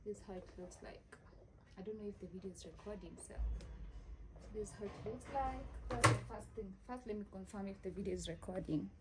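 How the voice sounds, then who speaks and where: A woman's voice: medium pitch, a little fast. A young woman, chatting casually through a haul unboxing in her living room and repeating herself as she shows things. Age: young adult. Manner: chatty, repeats "yeah" and "this is how it looks like". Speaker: lifestyle vlogger. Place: living room, seated on a sofa. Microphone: phone camera a few feet away. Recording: noisy phone recording.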